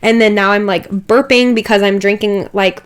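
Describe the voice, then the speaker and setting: A woman's voice: medium pitch, steady tone, normal speed, clean tone. A young woman getting over a cold, her voice a little stuffy and dry, chatting casually over a game build with many asides and "like"s. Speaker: Sims build creator.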